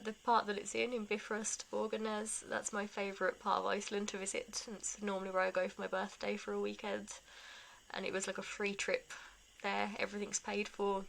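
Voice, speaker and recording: A woman's voice: mid-range voice, gravelly, a bit bright, slight background noise, rough recording. A young woman vlogger giving a casual life update, rambling with self-deprecating asides.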